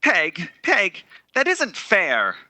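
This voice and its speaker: A man playing an earnest, guilt-ridden young man in a 1930s-style radio drama, speaking haltingly and hesitantly. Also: high voice, huge pitch swings, bright, forceful tone, no room sound, heavily acted. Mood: pained, pleading.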